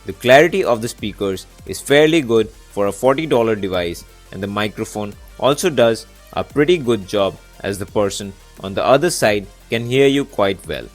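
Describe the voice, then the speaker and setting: A man's voice: lowish voice, animated, normal speed, few pauses. A man presenting a scripted product review as a video reviewer, in narration style. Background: background music.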